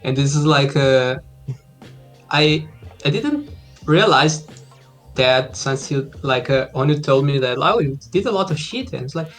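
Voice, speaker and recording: A man's voice: mid-range voice, expressive, measured pace, fairly bright tone. Brazil-born man, thirties, on a laptop call with faint music behind, easygoing and hesitant. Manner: hesitant, many fillers, easygoing. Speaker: indie game developer guest. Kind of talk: casual interview chat. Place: home room, indoors. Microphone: laptop video call.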